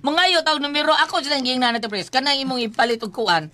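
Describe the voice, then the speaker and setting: Bright high-voiced radio hostess. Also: female voice, fast talker. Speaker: radio show host. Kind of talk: casual radio chat.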